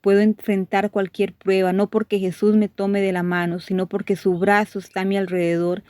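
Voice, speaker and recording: A woman reading a devotional course aloud, sharing testimonies in a steady, measured way with gentle emphasis. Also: mid-range voice, no echo, clean studio sound.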